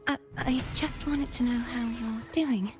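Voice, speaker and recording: A woman's voice: fairly high pitch, fairly even intonation, unhurried, a little mellow, close to an everyday voice. A woman voicing an earnest young heroine in scripted fantasy video game dialogue. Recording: distant game audio under music. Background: video game music.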